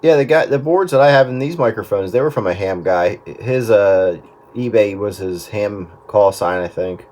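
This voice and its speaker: Low-pitched man chatting on two-way radio. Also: moderate pace, noisy background. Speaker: amateur radio operator. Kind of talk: casual chat over two-way radio. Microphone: desk radio microphone.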